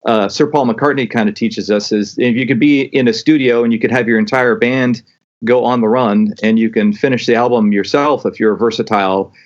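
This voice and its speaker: A man's voice: medium pitch, steady tone, fairly quick. A man hosting a podcast, talking eagerly with many restarts and stumbles in a casual interview. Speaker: podcast host. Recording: dull lo-fi sound.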